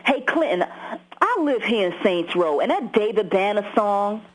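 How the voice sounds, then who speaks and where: A woman's voice: medium pitch, sing-song, moderate pace, rough recording. An actress playing a streetwise, easygoing local woman who calls a radio station, talking in slang. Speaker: radio call-in listener.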